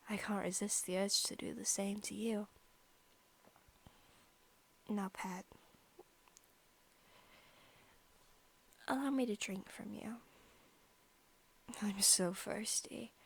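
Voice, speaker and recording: Slow, creaky-whispering obsessive vampire played by a woman. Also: medium pitch, heavily acted. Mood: hungry, coaxing. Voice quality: breathy, whispery. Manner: soft, menacing, teasing, often dropping into whispers. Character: obsessive, sadistic centuries-old female vampire posing as student. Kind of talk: ASMR roleplay acting.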